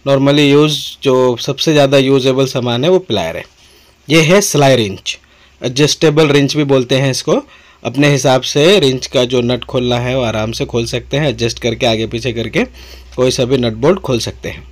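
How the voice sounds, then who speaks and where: A man's voice: medium pitch, moderate pace. A man with an Indian accent giving an informal product demonstration, explaining in a repetitive way and mixing in English words. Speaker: tool seller demonstrating products.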